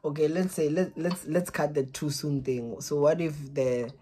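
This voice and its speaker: A man's voice: a little high, fairly even intonation, normal speed, dark tone, a little hiss or hum. A man in his twenties in a casual phone conversation, hesitant and repetitive, pleading, recorded close up in a small room. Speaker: vlogger making a prank call.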